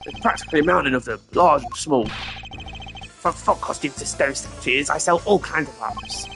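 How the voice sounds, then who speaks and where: A gravelly, self-important art dealer voiced by a man who bristles at any delay and mangles his English with stiff, odd phrasing. Video game music plays clearly behind him. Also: a little high, moderate pace. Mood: haughty. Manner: haughty, broken stilted English. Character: pompous male foreign art dealer, impatient.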